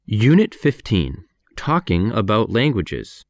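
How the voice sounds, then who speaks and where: A man with an American accent reading numbered practice sentences for an English lesson, clear and measured. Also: on the low side, very animated, darkish tone, no echo.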